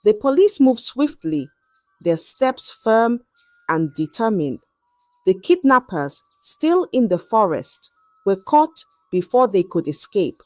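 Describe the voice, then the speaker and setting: A woman telling a dramatic scripted story in an expressive, measured and suspenseful way. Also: medium pitch, no room sound, soft background music.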